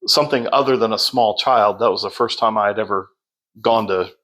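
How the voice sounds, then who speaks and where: A man telling his story as a podcast guest in a loud, projecting voice, unhurried, with many fillers and restarts. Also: fairly low pitch. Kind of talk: casual storytelling.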